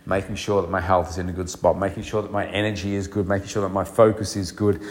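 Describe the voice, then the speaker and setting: A man's voice: deep voice, level tone, fast. A middle-aged man hosting a solo podcast, speaking earnestly and reflectively with many fillers, his voice nasal and congested from a cold. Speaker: podcast host and coach.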